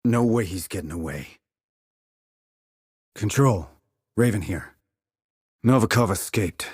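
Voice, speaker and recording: An actor playing a terse, dry, confident military sniper in a video game, with a husky voice and clipped, controlled reports. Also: male voice, fairly low pitch, lively intonation, slow, a little mellow, no echo, full character voice. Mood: tense focus. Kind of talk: scripted military game dialogue.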